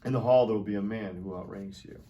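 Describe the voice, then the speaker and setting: A man's voice: lowish voice, fast talker, warm tone, natural voice. A man at a table read playing a cynical arms dealer who is casually, coolly defiant under interrogation. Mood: calm certainty. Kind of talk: acted screenplay table read. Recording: noticeable background noise.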